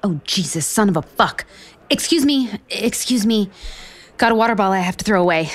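An actress playing an overwhelmed, sarcastic, foul-mouthed author in an audio romantic comedy. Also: female voice, medium pitch, expressive, fairly quick, close to an everyday voice. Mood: flustered. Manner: sarcastic, exasperated.

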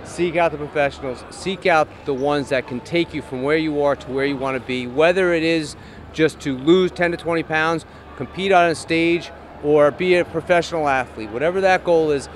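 A man's voice: a little high, moderate pace, a little hiss or hum. A man in his forties hosting an upbeat, casual interview at a fitness expo, speaking into a handheld mic with frequent restarts. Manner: upbeat, enthusiastic, with many restarts. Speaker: host. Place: large indoor expo hall, in front of a backdrop. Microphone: handheld microphone.

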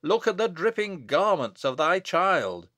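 A stern abbot, acted by a male narrator declaims like a wrathful prophet in a gothic storybook. The words are loud and weighty, swinging widely in pitch, with solemn archaic phrasing. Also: big pitch swings, unhurried, no echo, full character voice. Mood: wrathful, condemning.

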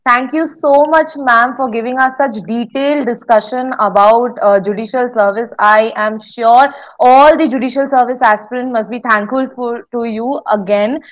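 A woman's voice: fairly high pitch, moderate pace, few pauses, poor audio. A young woman interviewing a guest over a video call from a small room at home, asking questions in a polite, formal way. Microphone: laptop webcam on video call.

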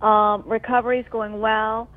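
Higher-voiced woman on a tinny phone line. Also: flat delivery, measured pace. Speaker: TV news presenter on medical leave, calling in. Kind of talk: casual, heartfelt phone chat. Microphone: telephone call.

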